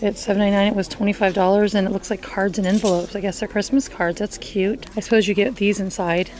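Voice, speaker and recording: A woman's voice: mid-range voice, fairly even intonation, quick pace, darkish tone. Chatty woman vlogging while shopping. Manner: chatty, upbeat, reads out prices, frequent gasps and little laughs. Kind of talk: casual vlog walkthrough. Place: large indoor retail store. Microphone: handheld wide-angle camera at arm's length.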